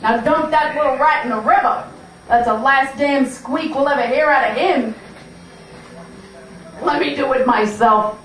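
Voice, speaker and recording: A woman's voice: high voice, a little slow, pauses often, fairly bright tone. A woman playing a bossy, menacing female gangster boss in an amateur puppet show, with brash slangy talk. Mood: gloating menace. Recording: roomy, distant mic, faint noise.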